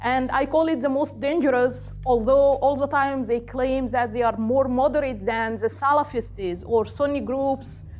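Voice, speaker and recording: A middle-aged woman with an Egyptian accent, a native Arabic speaker, giving an earnest, deliberate political talk off the cuff. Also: high voice, a little mellow, faint music behind. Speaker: political activist and guest speaker.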